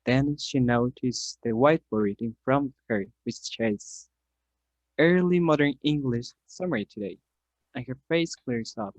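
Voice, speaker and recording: A man's voice: medium pitch, measured pace, no room sound, rough recording. A man reading scripted narration for an amateur radio play, setting scenes as a storyteller.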